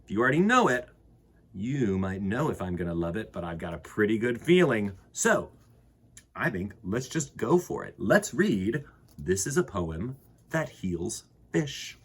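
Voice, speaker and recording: A man's voice: fairly low pitch, very animated, measured pace, slightly rough. A man hosting children's story time, reading and chatting expressively and enthusiastically, speaking straight to his young audience. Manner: enthusiastic, addresses listeners directly.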